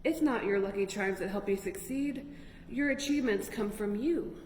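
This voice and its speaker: A woman's voice: medium pitch, moderate pace, darkish tone, close to an everyday voice. A woman voicing kindly, encouraging young garden creatures in a children's picture-book read-aloud. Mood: reassuring. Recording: echoey, reverberant room with noticeable noise.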